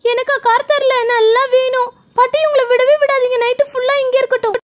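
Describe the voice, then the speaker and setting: A woman's voice: flat delivery, a little fast. A woman voicing a sneaky, jealous little girl who brags and taunts in a children's toy story. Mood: spiteful. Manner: squeaky, sing-song bragging and taunting.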